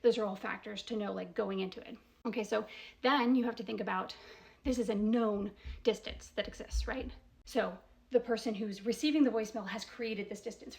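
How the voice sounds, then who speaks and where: A woman with a slightly high voice that often crackles into fry. She talks as a commentator working through an argument in casual, deliberate steps. She leans on key words, poses probing questions and tags her points with little checks for agreement. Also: a little mellow. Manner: probing rhetorical questions, frequent "right?" tags. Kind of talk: casual spoken analysis.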